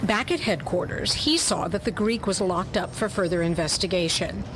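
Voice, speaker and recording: A woman's voice: medium pitch, moderate pace, close to an everyday voice. A woman acting as narrator of a hardboiled detective story, reading scripted prose in a dramatic storytelling style. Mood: dramatic narration. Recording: clearly audible background noise.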